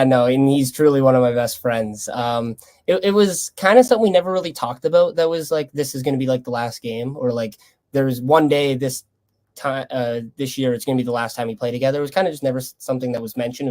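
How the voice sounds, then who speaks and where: A young Canadian man speaks in a mid-pitched voice as a guest in a casual sports interview. His phrasing is loose and conversational, and he comes across as earnest. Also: fast, a little mellow. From Lethbridge, Canada. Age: young adult. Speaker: college baseball player being interviewed.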